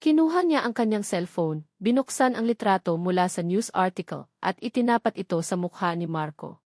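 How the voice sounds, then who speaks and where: A woman's voice: mid-range voice, expressive, normal speed, a little mellow, dry recording. Filipino woman narrating a dramatic story, close and dry. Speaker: narrator of audio love stories.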